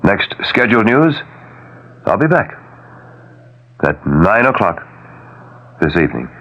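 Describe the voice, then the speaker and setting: A man's voice: fairly low pitch, sing-song, slow pace, lo-fi sound. An American man reading a 1970s radio news bulletin in a formal, emphatic broadcast delivery, as a newscaster.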